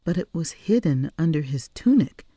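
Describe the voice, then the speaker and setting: A woman's voice: low-pitched, animated, normal speed, dark tone, slightly rough, no room sound, clean studio sound, pitched above the performer's own voice. A woman voicing a tough, protective female cat burglar with a curt, stern manner, in an audiobook story. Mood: probing, surprised.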